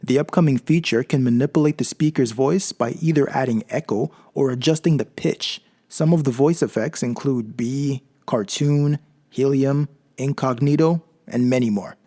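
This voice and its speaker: A man with a mellow voice hosting a tech channel, reading scripted tutorial narration. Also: mid-range voice, normal speed.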